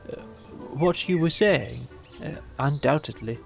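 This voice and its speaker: A woman's voice: a bass voice, measured pace, creaky, soft background music, full character voice. A woman voicing a good-natured, absent-minded toy bear who speaks hesitantly in a children's audiobook. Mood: vague, drowsy. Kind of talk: children's audiobook character acting.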